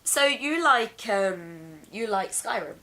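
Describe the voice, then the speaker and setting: A young Englishwoman with a deep voice, hoarse from being ill, rambling through a casual vlog chat at home on a camera mic. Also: lively intonation, slow pace, sharp tone. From England. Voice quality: deep for a woman. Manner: rambling, chatty, laughs often. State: hoarse from illness. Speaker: vlogger. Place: home room.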